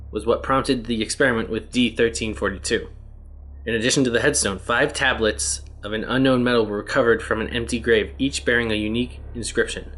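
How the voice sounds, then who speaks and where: A man's voice: medium pitch, a bit bright. A man steadily reading fictional documents aloud and adding brief matter-of-fact asides. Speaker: reader of horror fiction documents.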